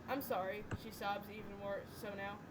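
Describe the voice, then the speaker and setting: A woman's voice: medium pitch, little pitch movement, normal speed. A woman reading a story aloud casually, voicing the dialogue as she goes. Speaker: reader in a group fanfiction reading. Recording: noisy home recording.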